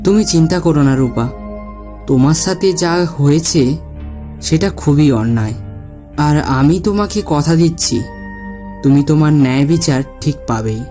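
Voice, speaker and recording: A man playing an earnest, brave man who speaks gently and solemnly in a cartoon horror story. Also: fairly high pitch, measured pace, long pauses, close to an everyday voice. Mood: reassuring, earnest. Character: earnest, brave man promising a ghost justice. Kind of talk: dramatic audio story dialogue. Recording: long reverb, music behind.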